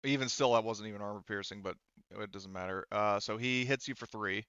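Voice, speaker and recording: A man's voice: fairly low pitch, fairly quick, a bit bright, clear, resonant tone, dry recording, muffled recording. A man acting as game master, narrating and refereeing a tabletop roleplaying game in an informal, hesitant, think-aloud way.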